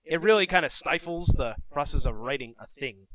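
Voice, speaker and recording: A young man co-hosting a casual podcast, playful and quick to laugh, explaining his points at length. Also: on the high side, lively intonation, a bit bright, lo-fi sound. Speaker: podcast co-host. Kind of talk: casual chat.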